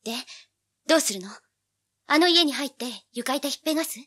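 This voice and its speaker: Cheeky young female sidekick, bright sharp voice, quick pace, sassy, audio drama. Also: high-pitched, lively intonation. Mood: teasing. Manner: sassy, complaining, girlish. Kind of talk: animated audio drama acting.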